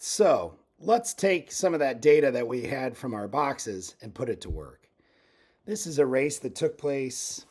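A man in his fifties giving an informal instructional walkthrough as a sailing coach, conversational and explanatory. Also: medium pitch.

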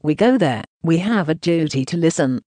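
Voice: mid-range voice, expressive, normal speed, mellow, no room sound. A synthetic text-to-speech voice reading translated news narration in a choppy way, speaking punctuation marks aloud.

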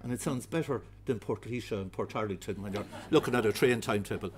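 A man's voice: fairly low pitch, fast, slightly rough. Irish man giving a history lecture. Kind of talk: public lecture with slides. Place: darkened heritage centre auditorium.

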